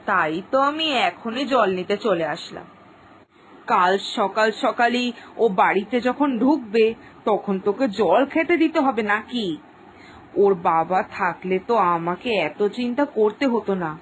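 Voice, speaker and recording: A woman's voice: a little high, slight background noise, low-quality recording. A woman voicing Boni's mother, a simple, fretful village mother who rambles with emotion, in a cartoon. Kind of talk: cartoon voice acting.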